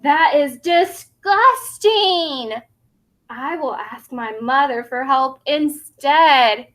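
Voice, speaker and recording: A tiny, determined young T. rex, as a woman reader puts it on for a children's storybook. The voice is high and bright, childlike and earnest, with careful, unhurried phrasing. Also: full character voice. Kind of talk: children's storybook read-aloud.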